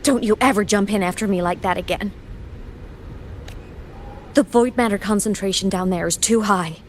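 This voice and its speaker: A woman's voice: mid-range voice, normal speed, natural voice. A woman playing an earnest, caring, solemn young companion in an anime game. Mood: stern, worried. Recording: game livestream capture, faint noise.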